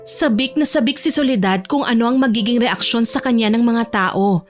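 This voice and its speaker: A woman's voice: a little high, moderate pace. A woman reading scripted romantic story narration as the narrator of an audio drama. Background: soft music.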